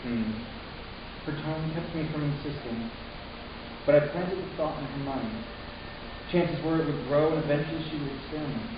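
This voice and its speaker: Casting: anguished, defiant young man, played by a male actor, slightly high voice, halting and intense first-person drama, heavy hiss. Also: lightly acted. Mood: insistent. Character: anguished, defiant young human male narrator of science fiction. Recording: echoey room from far off, muffled, hissy.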